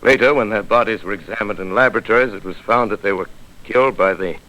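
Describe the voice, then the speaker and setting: An actor playing a solemn, weary scholar who survived an invasion, narrating in a grave, theatrical 1930s radio drama style. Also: male voice, fairly low pitch, bright, forceful tone, low-quality recording. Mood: solemn, reflective. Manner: grave, measured, theatrical, reflective.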